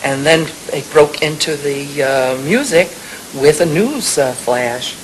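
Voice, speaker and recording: An elderly woman in her eighties recounting childhood memories in a halting, repetitive way. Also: low voice, expressive, rough recording. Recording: faintly hissy interview recording.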